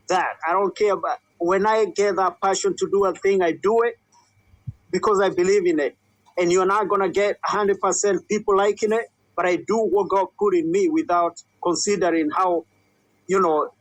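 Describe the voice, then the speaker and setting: High-voiced earnest man from Kenya. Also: dry recording, rough recording. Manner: earnest, repeats emphatic advice. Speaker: musician and comedian being interviewed. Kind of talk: interview answers.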